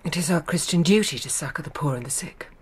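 A woman's voice: deep voice, animated, fast talker, a little hiss or hum. An actress playing a grieving, composed Victorian mother in a radio drama, formal and restrained, with an English accent. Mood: grave, restrained.

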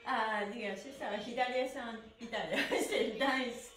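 A woman's voice: fairly high pitch, moderate pace, fairly bright tone, soft background music. A middle-aged woman leading a stretching lesson in a cheerful, exclamatory way, alternating instructions with chanted counts. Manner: cheerful, exclamatory, laughing often while moving. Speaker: stretching exercise instructor and mime teacher. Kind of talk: live-streamed exercise lesson with chanted counts. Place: small indoor room with mat and bare wall. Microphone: camera a few metres away. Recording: echoey room, distant microphone.

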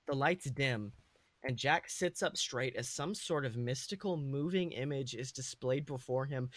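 Male podcast storyteller, somewhat high pitch, dramatic comedic narration, dry close sound. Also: a bit bright, no echo. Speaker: podcast host and story reader.

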